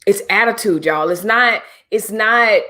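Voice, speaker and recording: A woman's voice: medium pitch, measured pace, pushed and bright. A middle-aged woman hosting a livestream, talking casually and directly to her viewers with preachy asides. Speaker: livestream host.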